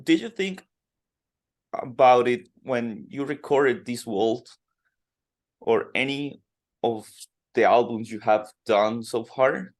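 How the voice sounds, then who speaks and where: Slow hesitant Colombian man interviewing. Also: mid-range voice. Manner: slow, hesitant, halting questions with fillers and soft laughs. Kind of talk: podcast interview in second language.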